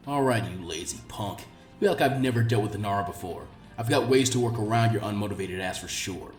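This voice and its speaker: A man voicing a laid-back adult ninja instructor who is gruff, blunt and exasperated, in a story reading. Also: on the low side, fast talker, roomy sound, far from the mic, faint music behind, pitched below the performer's own voice, lightly acted. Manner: blunt, deadpan.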